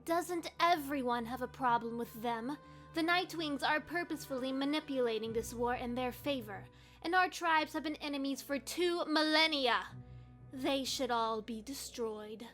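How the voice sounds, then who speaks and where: A woman's voice: high voice, normal speed, a bit bright, faint music behind. A woman playing a haughty, domineering young female dragon, imperious and scornful, in a fantasy audio drama.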